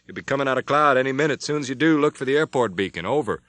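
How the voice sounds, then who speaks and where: A man's voice: mid-range voice, expressive, very fast, a bit bright, no echo, voice pushed up, lightly acted. A man voicing a commanding airline captain who gives step-by-step radio instructions. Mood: coaching. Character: middle-aged airline captain, firm and commanding. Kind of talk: audiobook character voice.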